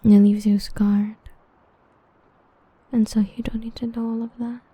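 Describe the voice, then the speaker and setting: A woman playing an obsessive, possessive female villain in an ASMR roleplay, breathy and intimate with menacing devotion. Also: medium pitch, flat delivery, normal speed, mellow, no echo, close to an everyday voice. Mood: gentle explaining. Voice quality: breathy, whispery. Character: obsessive yandere female villain, tenderly possessive, murderously protective.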